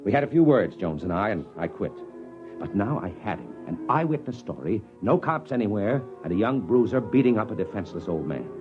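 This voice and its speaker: A man's voice: fairly low pitch, sing-song, brisk. An actor playing a hard-boiled, opportunistic journalist and family man in a 1950s radio drama, with tough, slangy delivery. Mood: wry, hard-boiled. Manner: tough, slangy, wry storytelling. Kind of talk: 1950s radio drama acting, first-person narration and dialogue. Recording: old dull radio recording, music behind.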